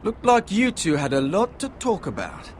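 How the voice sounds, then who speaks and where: High, lively, needling young man. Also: normal speed, slight background noise. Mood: teasing suspicion. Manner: teasing, prying. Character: nosy, needling young brother-in-arms. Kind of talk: dramatic fantasy game acting.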